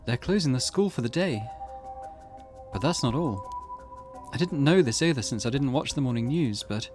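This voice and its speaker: A man reading the part of a calm, serious, cautious teenage schoolgirl in a visual novel, measured and matter-of-fact. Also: mid-range voice, soft background music, lightly acted. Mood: grave, composed.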